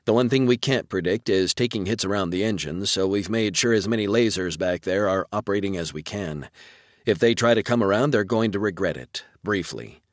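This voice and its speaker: A man voicing a confident, businesslike female weapons officer giving brisk tactical reports in an audiobook. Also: on the low side, no room sound, clean studio sound, close to an everyday voice.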